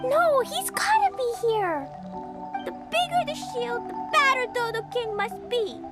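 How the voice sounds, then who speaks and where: A woman voicing an excitable, innocent little girl adventurer in a video game. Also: extremely high pitch, measured pace, heavily acted. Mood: excited, eager. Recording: music behind the voice.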